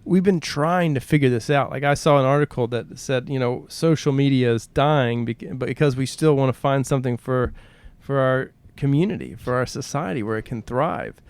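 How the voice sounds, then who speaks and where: A man's voice: medium pitch, moderate pace, few pauses, clean tone. A man co-hosting a podcast, speaking in an earnest, reflective conversational style and often voicing questions and quotes. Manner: earnest, poses rhetorical questions, acts out quotes. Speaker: podcast co-host.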